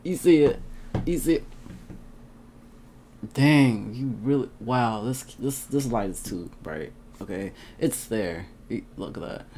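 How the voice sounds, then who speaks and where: A young man chatting casually to his camera at home, laughing often and getting animated at times. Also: mid-range voice, takes it slowly, a little hiss or hum. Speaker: vlogger. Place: indoors at home.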